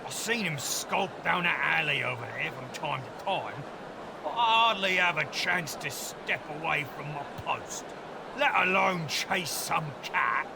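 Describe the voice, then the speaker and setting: A man playing a gruff, brusque Victorian London fishmonger in an audio drama. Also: on the high side, lively intonation, unhurried, background noise. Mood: grudging, irritated. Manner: brusque, exasperated, barking.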